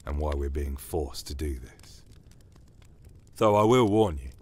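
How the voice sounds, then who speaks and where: Man doing a blunt, low-voiced captured soldier. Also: slight background noise. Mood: wary, wry. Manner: colloquial, blunt. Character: captured human soldier, plain-spoken, resentful, wary. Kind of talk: dramatic audio story dialogue.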